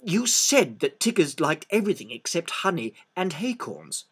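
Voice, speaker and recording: A man voicing a friendly, simple toy bear in a storybook reading, gentle and earnest. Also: a little high, sing-song, normal speed, husky, pitched above the performer's own voice, full character voice. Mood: puzzled, helpful.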